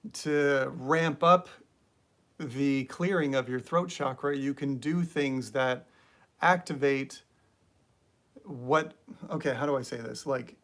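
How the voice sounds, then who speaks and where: A young adult man hosting a spiritual live stream, talking in a relaxed, rambling way with many fillers and reading viewer comments aloud. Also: medium pitch. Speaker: spiritual channel host.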